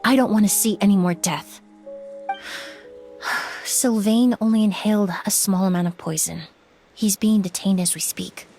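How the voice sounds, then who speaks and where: A woman as a young female perfumer in a dramatic game scene, composed and firm. She speaks in a measured, articulate way at a middle pitch, with music underneath. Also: darkish tone, lightly acted. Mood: solemn. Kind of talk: dramatic video game voice acting. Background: background music.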